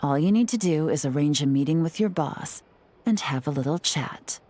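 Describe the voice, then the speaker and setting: A woman voicing a composed female secret agent in a video game, who speaks in a calm, measured and confident way and gives orders crisply. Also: low-pitched, slight background noise. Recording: game audio with faint music underneath.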